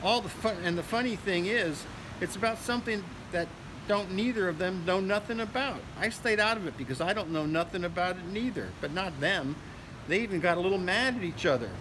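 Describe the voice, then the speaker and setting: A man's voice: sits high, brisk, voice pushed up, lightly acted. A man voicing a folksy, wily, friendly working-class character from a novel, talking in loose, cajoling colloquial speech. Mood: chatty, sly. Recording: noisy outdoor ambience with vehicles.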